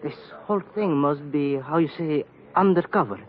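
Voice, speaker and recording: A man's voice: fairly high pitch, normal speed, close to an everyday voice. Man acting a secretive go-between, dull old recording. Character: fat little foreign male go-between, secretive and polite. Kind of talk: 1950s radio drama acting. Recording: old band-limited radio recording.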